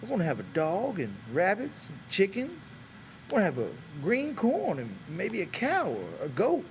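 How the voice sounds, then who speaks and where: A man's voice: sits high, huge pitch swings, normal speed, a little hiss or hum, pitched above the performer's own voice, exaggerated voice. A man voicing an old, wistful and stubborn American ranch hand with earnest, folksy talk, for an audiobook. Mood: earnest, dreamy. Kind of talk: dramatic audiobook character voice.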